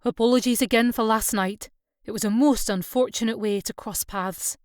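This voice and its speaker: A woman narrator plays a Scottish fishing skipper, a man in his thirties. He is brisk, steady and fair-minded in this storybook dialogue. The voice is clean and close, with a dry sound. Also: on the high side, dry recording, lightly acted. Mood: measured, probing. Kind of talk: audiobook character dialogue.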